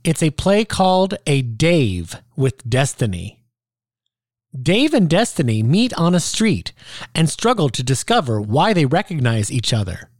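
A man's voice: a little high, very animated, a little slow, no room sound, studio quality. A man reading a scripted introduction as the announcer of an audio play. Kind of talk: scripted narration.

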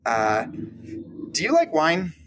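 A man's voice: on the high side, wildly swooping pitch, slow, noisy background, heavily acted. An actor playing an awkward, shy young male map conservator in a romantic comedy audio play, hesitant and fumbling for words. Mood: shyly hopeful. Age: young adult.

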